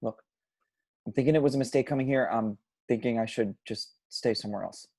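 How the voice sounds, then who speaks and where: Guy doing a frustrated young man with a grudge, amateur script read, mid pitched. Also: a little fast, darkish tone, natural voice. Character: bitter, frustrated young man, grieving estranged son. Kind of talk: amateur at-home table read of a film script.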